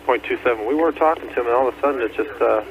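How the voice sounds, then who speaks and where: A male air traffic control staffer speaks quickly in a medium-pitched voice, making a work call. The line is thin and tinny, with faint noise. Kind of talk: operational phone call.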